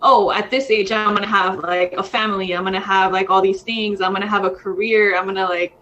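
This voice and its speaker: A woman's voice: mid-range voice, steady tone, a little fast, bright, forceful tone, lo-fi sound, slight background noise. A woman in her thirties, co-hosting a podcast in casual chat, rambling with lots of fillers. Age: 30s. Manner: rambling, frequent fillers, says dude. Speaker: podcast co-host.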